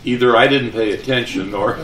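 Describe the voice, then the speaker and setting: A man's voice: mid-range voice, fairly quick, a bit bright. An older man, a retired announcer, giving a casual, reminiscing talk to an audience. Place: room with an audience. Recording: roomy, distant mic, faint noise.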